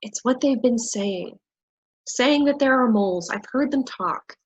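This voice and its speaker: An actress plays a paranoid woman ringleader in a staged reading, grand and condescending. Her voice sits a little high and rough-edged, captured with a muffled sound. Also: expressive, a little slow. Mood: conspiratorial, grandiose. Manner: condescending, theatrical. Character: haughty, paranoid woman boss of a scheme.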